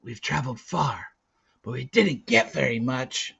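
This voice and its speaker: A man voicing an earnest, gentle young cave boy in a storybook reading. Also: mid-range voice, sing-song, a little slow, creaky, muffled recording, background noise, pitched above the performer's own voice, exaggerated voice. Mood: apologetic.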